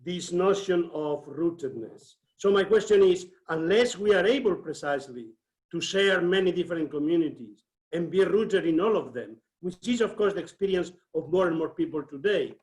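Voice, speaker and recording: A man's voice: on the high side, animated, normal speed, darkish tone, low-quality recording. A man from Spain, asking a long, thoughtful question over a video call with many hesitations. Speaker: seminar participant asking a question.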